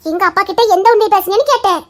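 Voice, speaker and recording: A woman playing a fiery, very high-voiced young sweetheart. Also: very fast. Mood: scolding. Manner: scolding, threatening, laughing through it. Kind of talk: amateur romantic drama.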